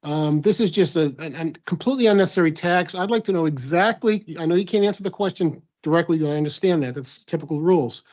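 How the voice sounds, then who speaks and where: A man's voice: a little high, fast, few pauses, a little mellow. A man bluntly and indignantly opposing a tax during public comment at a meeting. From Massachusetts. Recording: dull lo-fi meeting audio.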